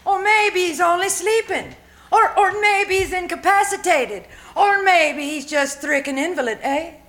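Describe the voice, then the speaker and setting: A woman's voice: extremely high pitch, expressive, unhurried, a bit bright, exaggerated voice. A woman playing a superstitious, deferential maid with a folksy, hesitant manner in a live old-time radio play. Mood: humouring, doubtful. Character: superstitious, deferential maid, humouring her employer. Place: live performance at a winery.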